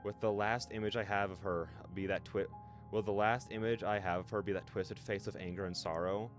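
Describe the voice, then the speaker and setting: A man's voice: on the low side, fairly even intonation, fairly quick. A man streaming a story game, reading narration aloud with feeling and reacting casually, with music behind him.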